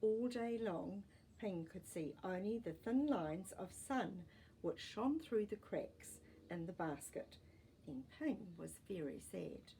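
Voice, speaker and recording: A woman storyteller reading a children's story expressively, with deliberate pauses and dramatic emphasis. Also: medium pitch, lively intonation, gravelly, mellow, slight background noise, poor audio. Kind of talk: expressive storybook narration with asides to young listeners.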